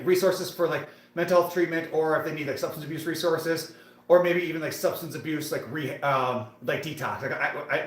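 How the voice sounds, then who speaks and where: Livestream fitness host, man in his thirties, slightly high voice, loose hyped chat, roomy echo. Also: fairly bright tone. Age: 30s. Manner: chatty, hyped, talks to viewers in the chat. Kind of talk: casual live-stream chat while working out.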